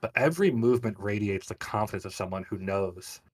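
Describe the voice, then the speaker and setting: A man's voice: fairly low pitch, lively intonation, brisk, breathy edge. A man narrating a tabletop fantasy game as game master, describing scenes for his players.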